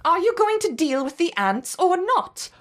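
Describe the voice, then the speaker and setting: An actress playing a stern, businesslike research supervisor in a video game, speaking curtly and with command. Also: female voice, very high-pitched, big pitch swings, moderate pace. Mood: stern, disapproving. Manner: curt, commanding. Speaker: actor voicing a game character. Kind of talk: scripted video game dialogue.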